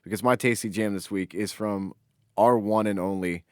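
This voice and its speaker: Male podcast co-host, lowish voice, playful and emphatic chatter, dry close sound. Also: normal speed, no echo. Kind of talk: casual comedic banter.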